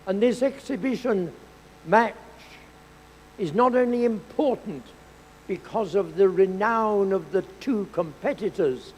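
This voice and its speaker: A man with a high voice announces competitors to a live crowd, unhurried and mock-grand, rolling out each line with theatrical formality. His voice rings through a large hall with a long echo, and faint crowd ambience sits underneath. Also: darkish tone, slight background noise. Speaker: event presenter.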